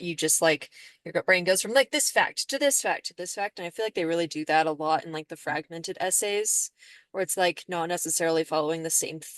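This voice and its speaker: A young woman with a low-pitched voice, chatting on a student podcast. Her talk is casual and rambling, full of "like", recorded dry and close. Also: no room sound. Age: young adult. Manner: rambling, peppered with "like". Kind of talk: casual chat.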